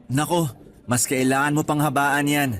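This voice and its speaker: A man's voice: fairly high pitch, level tone, moderate pace, fairly bright tone, close to an everyday voice. Man acting a teasing young teacher. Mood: playful, encouraging. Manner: casual, teasing, conversational Taglish. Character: friendly, teasing young male private school teacher. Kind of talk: Tagalog radio romance drama dialogue.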